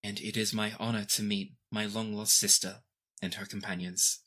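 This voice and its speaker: A woman voicing a formal, composed princess in a measured, courteous manner for a tabletop game. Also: extremely low pitch, level tone, a bit bright. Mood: gracious, measured.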